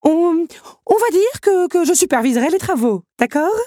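A woman's voice: very high-pitched, big pitch swings, normal speed, dark tone, no room sound, clean studio sound, voice pushed up. A woman voicing a fussy, panicky male mantel clock in a storybook reading, fretful and stammering.

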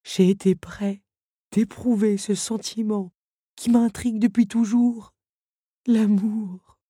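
A woman's voice: mid-range voice, steady tone, mellow, breathy edge, no room sound, studio quality, voice pushed up. A woman voicing a fragile, tearful male automaton who stammers and sobs as he speaks, in an audiobook. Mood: wistful. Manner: sobbing, halting, stammering. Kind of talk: audiobook character voice.

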